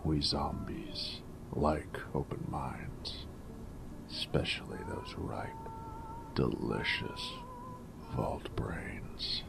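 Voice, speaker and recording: A man playing a snarky but friendly ghoul companion in an audio drama, with a gravelly voice and a wry, joking drawl. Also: a bass voice, huge pitch swings, extremely slow, whispered, music in the background, heavily acted. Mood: mock menace. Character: snarky, friendly male ghoul wasteland companion, weathered and irradiated. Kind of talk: character roleplay audio drama.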